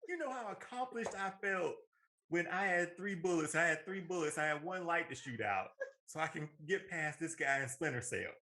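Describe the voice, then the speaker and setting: Animated man with a bright, higher voice. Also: moderate pace. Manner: animated, playfully argumentative. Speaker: podcast co-host. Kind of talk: casual banter debate.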